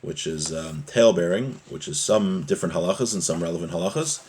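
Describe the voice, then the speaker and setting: A man's voice: deep voice, brisk, darkish tone, a little hiss or hum. A man giving a religious lesson as a Torah teacher, explaining a text line by line in an earnest, didactic way with frequent rhetorical check-ins.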